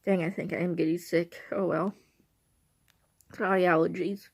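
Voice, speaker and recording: A young woman with an Illinois accent and a hoarse voice and stuffy nose, chatting casually to a handheld camera at home and rambling as she repeats and restarts herself. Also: on the low side, steady tone, normal speed. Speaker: vlogger. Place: at home on the couch.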